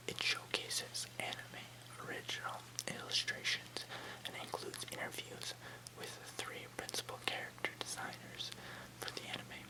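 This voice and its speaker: A soft ASMR whisper carries an informational article read aloud. The voice stays breathy and unvoiced throughout, moving through the text in measured, deliberate phrases with short pauses between them. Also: poor audio.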